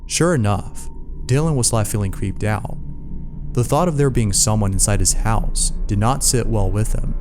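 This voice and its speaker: A man's voice: lowish voice, a little mellow. A man narrating scary mystery stories from a script, as the narrator of a video. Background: music.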